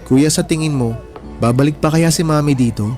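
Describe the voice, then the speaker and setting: A man's voice: fairly high pitch, normal speed, warm tone, soft background music, close to an everyday voice. A young man playing a sensitive, affectionate younger brother in an emotional radio drama, speaking in a boyish, earnest way. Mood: concerned. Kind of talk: radio drama acting in Tagalog.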